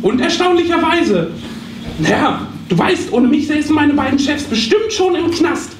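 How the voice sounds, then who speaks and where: A scatty, gossipy receptionist woman acted by a man in a comic live radio play, exclaiming in an extremely high, swooping voice. She dithers and frets on the phone. The stage recording is echoey and noisy. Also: sing-song, moderate pace, recorded from a distance. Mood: exasperated. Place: small theatre stage.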